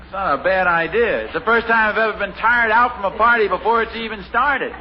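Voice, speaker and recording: Guy doing a high-voiced fussy sitcom dad, old muffled radio sound. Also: fairly quick, close to an everyday voice. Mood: exasperated. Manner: exasperated, chuckling, comic complaints. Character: flustered easygoing family father. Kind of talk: 1950s radio sitcom acting. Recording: dull old radio transcription with hiss.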